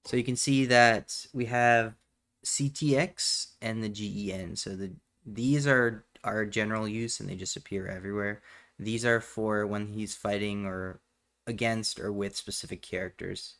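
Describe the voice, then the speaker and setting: Low-voiced man giving a tutorial. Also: fairly even intonation, unhurried, a bit bright. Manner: matter-of-fact, instructional. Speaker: tutorial presenter. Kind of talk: step-by-step software tutorial narration.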